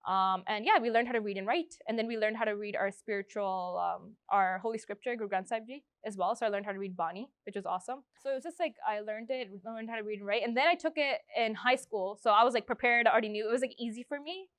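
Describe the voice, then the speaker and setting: A woman in her twenties from Canada talks through an oral history interview in an upbeat, rambling way, full of "like" and "um". Her voice is smooth and crisp. It is recorded dry and close, with no room sound. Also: a little high, fast, few pauses, a bit bright, no room sound. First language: Punjabi. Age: 20s. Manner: chatty, upbeat, lots of fillers and restarts. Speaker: interviewee, schoolteacher.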